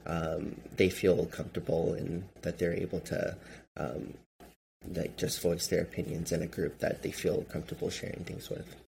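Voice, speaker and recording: A man with a very deep voice and a gravelly rasp, talking slowly through his thoughts with lots of hesitation. His first language is Chinese. Faint noise sits under the recording. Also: flat delivery, a little mellow. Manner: hesitant, many fillers and restarts. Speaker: guest, gun group member. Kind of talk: casual interview answers.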